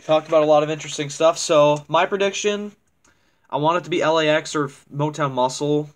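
A man's voice: fairly high pitch, steady tone, a little fast. A young man hosting a casual chat in a small room, rambling and restarting his sentences, laughing often, picked up by a webcam across the room. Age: young adult. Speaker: channel host. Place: small dim room indoors.

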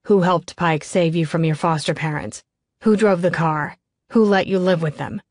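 A woman's voice: on the low side, steady tone, normal speed, a little mellow, no room sound, clean studio sound, close to an everyday voice. A woman narrator voicing a desperate, menacing criminal man with a goading, contemptuous manner in an audiobook. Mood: goading, demanding.

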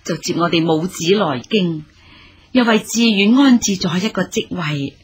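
An actress playing a courteous elderly mother in a radio drama, speaking expressive Hong Kong Cantonese with a husky, deferential voice. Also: fairly low pitch, normal speed. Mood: humble, polite. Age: older. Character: humble, courteous elderly mother of a young scholar.

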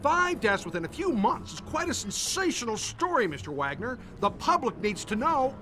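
An actor playing a pushy newspaper reporter heard through a telephone in a video game. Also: male voice, extremely high pitch, big pitch swings, normal speed, pushed and bright, faint music behind, heavily acted. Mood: pressing. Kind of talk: video game cutscene acting.

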